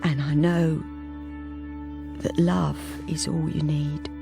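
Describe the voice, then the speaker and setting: An actress playing a timid, wistful middle-aged wife in a radio drama, speaking in a hesitant, confiding way. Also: female voice, deep voice, flat delivery, takes it slowly, gravelly, music in the background, heavily acted. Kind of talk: radio drama acting with first-person narration.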